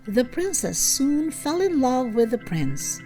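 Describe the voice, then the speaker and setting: A woman narrating a scripted children's story in an expressive, measured way. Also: sits high, faint music behind, poor audio.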